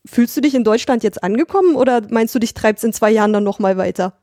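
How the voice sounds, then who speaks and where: Curious woman host, mellow voice. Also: a little high, fast, no room sound, clean studio sound. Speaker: podcast co-host. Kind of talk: casual interview.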